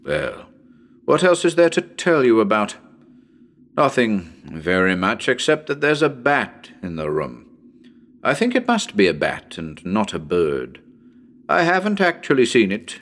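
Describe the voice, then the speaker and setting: A man's voice: on the low side, a bit bright, heavily acted. A man playing a nervous radio broadcaster on a ghost hunt in a dramatic reading, talking to listeners in a chatty, hesitant, uneasy way. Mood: chatty unease. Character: nervous male radio broadcaster ghost hunting in haunted house.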